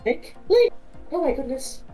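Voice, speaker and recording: A woman plays a young fantasy princess in mourning, pleading with great melodrama. The voice leaps up high and swings widely, drawn out and slow, with music behind it. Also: very animated, dark tone. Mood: shocked dismay. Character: grieving young princess pleading over fallen hero. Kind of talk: amateur dramatic fantasy reading.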